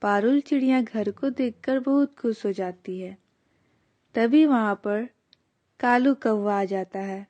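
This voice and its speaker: A woman narrates a children's tale in a fairly high voice. She takes her time, with very slow, scripted storytelling delivery. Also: dark tone, highs cut off.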